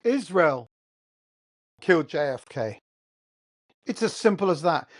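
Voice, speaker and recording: A man's voice: fairly high pitch, very animated, slow pace. A man with an English accent, hosting a heated solo monologue, blunt and profane, firing off rhetorical questions. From England. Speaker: host. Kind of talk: ranting monologue.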